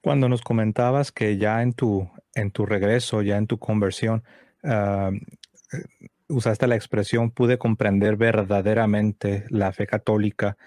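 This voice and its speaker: Man, program host, low voice, dry close sound, measured, courteous. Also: steady tone, darkish tone, no room sound. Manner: measured, courteous, hesitant fillers. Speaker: interviewer host. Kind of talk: moderating a religious testimony program.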